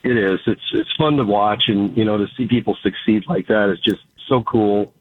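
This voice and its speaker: Raspy man on a tinny phone line. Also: lowish voice, moderate pace, no echo. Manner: relaxed, grateful, hesitant with many fillers. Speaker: company founder, radio guest. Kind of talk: casual radio interview.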